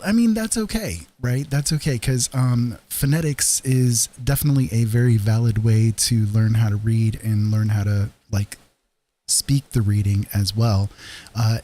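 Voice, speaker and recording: A man's voice: mid-range voice, a little mellow. An American man hosting a livestream, chatting casually and reassuringly to viewers in a friendly, rambling way. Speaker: live streamer host.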